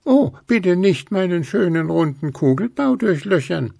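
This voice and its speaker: A man's voice: high voice, big pitch swings, measured pace, creaky, darkish tone, slightly rough, dry recording, clean studio sound, pitched above the performer's own voice, full character voice. Gruseli, a man doing a timid snow ghost. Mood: pleading. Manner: pleading, defensive.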